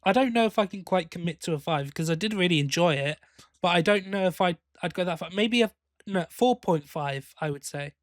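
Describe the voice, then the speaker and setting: A man with a somewhat high-pitched voice co-hosts a review podcast in a casual, conversational way. He offers opinions tentatively and softens them as he talks. The recording is dry and echo-free. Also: expressive, a little fast.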